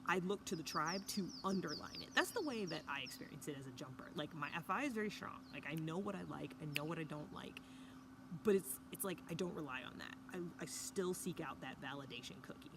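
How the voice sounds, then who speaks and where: A woman talking casually to camera in a hushed early-morning voice, recording outdoors by a fire pit with a mountain view. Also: low voice, expressive, brisk. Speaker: vlogger. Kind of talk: casual reflective talk to camera. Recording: outdoor ambience with background noise.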